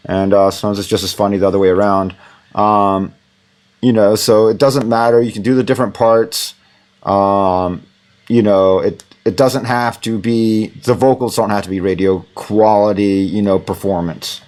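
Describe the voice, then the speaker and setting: Low-voiced rambling male instructor. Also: normal speed. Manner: rambling, relaxed, lots of fillers. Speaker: audio production instructor. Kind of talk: casual recorded tutorial. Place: home recording studio.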